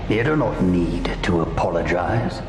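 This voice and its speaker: An actor playing a grave, formal, commanding grandmaster of a fantasy order in a video game cutscene. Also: male voice, deep voice, lively intonation. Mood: grave, measured. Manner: measured, formal, authoritative. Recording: roomy echo with music behind.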